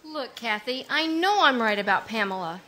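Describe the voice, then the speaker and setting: A woman playing a composed elder sister who speaks calmly and firmly, in a live 1940s-style radio drama. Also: a little high, normal speed, rough recording. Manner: calm, firm, pleading.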